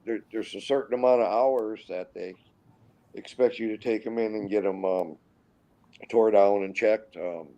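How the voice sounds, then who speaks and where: A man in his sixties from Minnesota, a guest pilot telling stories in a rambling, halting way with many restarts. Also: fairly low pitch, warm tone, muffled recording. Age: 60s. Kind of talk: casual storytelling interview.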